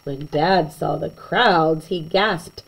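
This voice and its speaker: A woman reading a children's picture book aloud in an expressive way, with chatty asides to young listeners. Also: low-pitched, vocal fry, breathy edge, low-quality recording. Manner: animated, slow and deliberate storytelling, chatting to young listeners.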